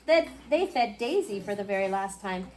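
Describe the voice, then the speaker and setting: A woman reading a picture book aloud to a class, expressively, pausing to question her young listeners. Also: medium pitch, lively intonation, darkish tone. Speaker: children's storybook reader. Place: indoor school classroom. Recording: clearly noisy classroom recording.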